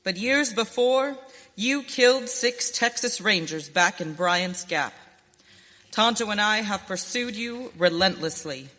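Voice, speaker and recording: An actor playing a calm, righteous, commanding masked western hero in a live radio drama. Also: medium pitch, measured pace. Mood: stern. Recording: reverberant hall.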